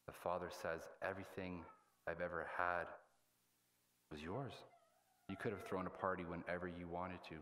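A man in his thirties preaching a sermon as a pastor, in a halting, plain-spoken, colloquial way. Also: deep voice, a bit bright, slight background noise. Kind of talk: sermon with scripture reading. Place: indoors at a church pulpit.

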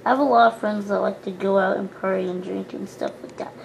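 A woman's voice: mid-range voice, fairly even intonation, fast talker, creaky, a little hiss or hum, lightly acted, low-quality recording. A young woman voicing a prim, churchy teenage girl doll who talks in an earnest, boastful way. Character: prim pious teen girl doll, goody-two-shoes.

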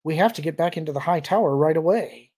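A man's voice: a little high, quick pace, a little mellow. A man voicing a wry, pragmatic woman adventurer in an audiobook, dry and matter-of-fact. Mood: brisk, firm.

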